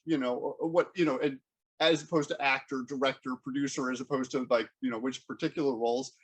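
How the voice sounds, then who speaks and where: An American man hosting a casual interview, asking rambling, halting questions in a conversational way. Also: fairly high pitch, husky. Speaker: interviewer and host, community theater member.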